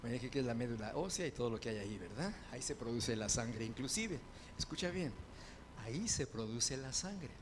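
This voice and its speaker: A man preaching a sermon as pastor, building to loud fervent proclamations and dropping into quick conversational asides. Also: medium pitch, lively intonation, background noise.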